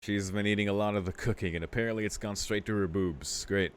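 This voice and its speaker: Low-voiced man, casual game read-aloud. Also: fairly even intonation, fast talker, clear, resonant tone. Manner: amused, sweary, informal asides. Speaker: let's play host reading a visual novel aloud. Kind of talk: visual novel read-aloud with casual commentary.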